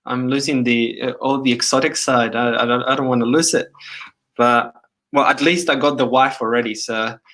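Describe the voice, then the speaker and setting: A man in his thirties with a Chilean Spanish accent softened toward Australian. He chats loosely on a livestream, full of fillers and repeated starts, at a middling pitch. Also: fairly even intonation, normal speed. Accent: Chilean Spanish, partly Australian. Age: 30s. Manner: rambling, many fillers and restarts. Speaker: livestream host. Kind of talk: casual livestream chat.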